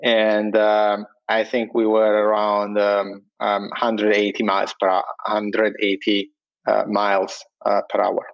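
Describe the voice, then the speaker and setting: Guest: Italian man, accented English, fry in the voice, halting with fillers, muffled remote line. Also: lowish voice, completely flat delivery. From Italy. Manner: hesitant, many fillers and restarts. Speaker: guest entrepreneur. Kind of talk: casual interview over internet call.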